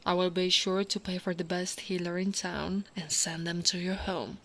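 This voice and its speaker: An Italian woman playing a tall, devoted female orc royal guard in an ASMR roleplay, speaking softly and intimately as if to the one listener she loves. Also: lowish voice, level tone. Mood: affectionate, reassuring. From Italy. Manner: slow, intimate, often dropping to a whisper.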